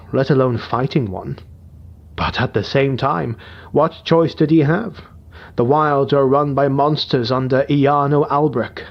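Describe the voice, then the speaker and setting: A man narrating a dark fantasy audiobook in a steady, dramatic storytelling manner. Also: medium pitch. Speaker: narrator and writer. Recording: dull lo-fi recording.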